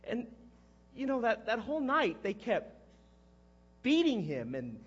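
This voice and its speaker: Guy acting as a guilt-ridden fisherman disciple, husky and high-pitched, swings all over, halting stage monologue, kinda dull recording. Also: huge pitch swings, exaggerated voice. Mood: desperate, anguished. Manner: halting, conversational, erupting into shouts. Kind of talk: dramatic first-person monologue.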